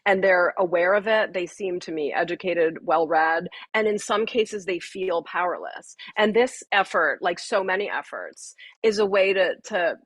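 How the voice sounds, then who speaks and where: A woman with a lowish pitch and a husky edge gives interview answers. She is articulate and earnest, laying out her points in full sentences. The sound is dry and close. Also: moderate pace, few pauses, dry recording. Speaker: podcast guest, writer and voting activist.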